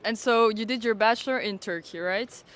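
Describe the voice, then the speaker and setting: A woman's voice: a little high, normal speed, fairly bright tone, poor audio. A woman asking questions informally as an interviewer.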